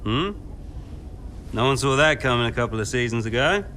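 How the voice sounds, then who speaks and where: An actor playing an earnest, driven racing team boss in a naturalistic game cutscene, informal and emphatic, sometimes halting. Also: male voice, mid-range voice, expressive, sharp tone, a little hiss or hum. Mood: proud, wistful.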